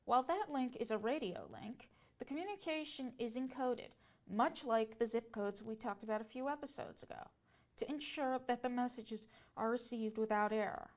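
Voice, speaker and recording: A woman's voice: fairly high pitch, normal speed. A woman presenting scripted educational narration.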